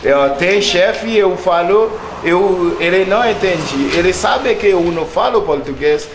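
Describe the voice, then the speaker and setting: A Haitian man with a Haitian Creole accent answering interview questions in halting words, often searching for the right one. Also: high voice, expressive, roomy sound, slight background noise.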